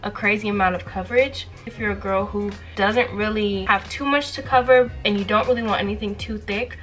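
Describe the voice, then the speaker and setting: A woman's voice: mid-range voice, a bit bright. A young woman chatting casually to her viewers as a beauty vlogger while doing her makeup, getting over a cold. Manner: chatty, playful, talks straight to viewers. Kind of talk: casual get-ready-with-me chat.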